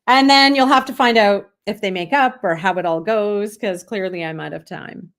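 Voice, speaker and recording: A woman's voice: medium pitch, moderate pace, fairly bright tone. A woman author reading a children's story aloud to listeners. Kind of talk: scripted story read-aloud.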